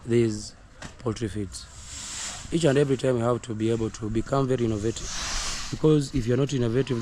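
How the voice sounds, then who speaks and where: Hoarse Kenyan man on a noisy farm. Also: medium pitch. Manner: repetitive, earnest, coaching tone. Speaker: poultry farmer. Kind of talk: casual instructional vlog. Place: outdoors on a poultry farm. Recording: noisy outdoor recording with roosters crowing.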